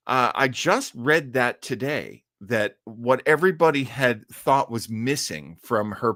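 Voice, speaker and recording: A man's voice: mid-range voice, fairly bright tone, no echo, clean studio sound. A man hosting a live show about old-time radio, talking casually to listeners in a hesitant, rambling, conversational way.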